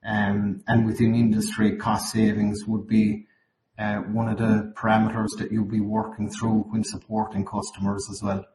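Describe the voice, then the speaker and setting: Irish male webinar presenter, slightly croaky, monotone, recorded in an echoey space with faint noise. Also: on the low side, normal speed. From Ireland. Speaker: account manager presenting at a webinar. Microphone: video call. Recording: roomy, noisy webinar call audio.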